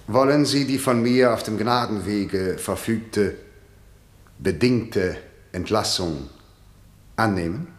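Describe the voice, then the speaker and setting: An actor playing a stern, formal, middle-aged prosecutor and authoritarian father, measured and condescending, in a vintage radio play. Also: on the low side. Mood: formal, pressing. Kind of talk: mid-century German radio drama acting. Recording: roomy 1960 radio drama studio.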